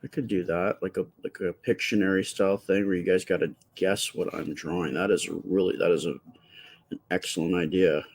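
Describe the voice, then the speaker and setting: A man with a quiet, soft voice chatting casually with viewers during a live stream while he draws. He rambles and stops to restart his sentences, speaking close to the microphone. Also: low voice, steady tone. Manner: rambling, halting, with many fillers and restarts.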